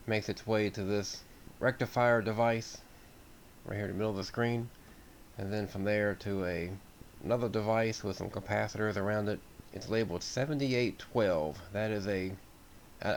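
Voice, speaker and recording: An American man with a fairly low voice talks through a hands-on repair in an unscripted, folksy way. He sounds like a hobbyist explaining things as he goes. A faint layer of noise sits behind his voice. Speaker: radio hobbyist host. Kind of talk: informal repair walkthrough narration.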